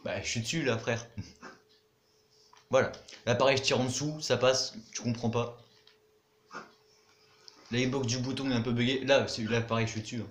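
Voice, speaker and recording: A man's voice: medium pitch, steady tone, normal speed, long pauses, reverberant, a little hiss or hum. A young man giving casual commentary over his own gameplay, chatty, muttering to himself and swearing now and then. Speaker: gaming YouTuber.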